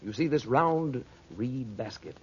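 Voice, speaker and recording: Commanding magician in dull vintage radio. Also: male voice, mid-range voice, animated, measured pace, husky. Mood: hushed, mesmerizing. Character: calm, commanding magician uncle, kindly but secretive. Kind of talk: old-time radio adventure serial acting.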